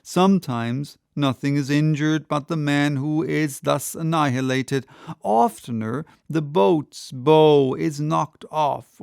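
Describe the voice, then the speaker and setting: A man reading classic literature as an audiobook narrator, with measured, dramatic storytelling. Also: a little high, gravelly, clean tone, dry recording, clean studio sound.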